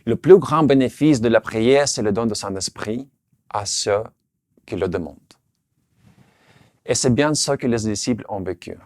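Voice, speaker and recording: A man who speaks French, his language, giving an earnest, measured sermon. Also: fairly low pitch, darkish tone, no room sound, studio quality. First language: Russian. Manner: earnest, measured, slight restarts on words. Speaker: preacher.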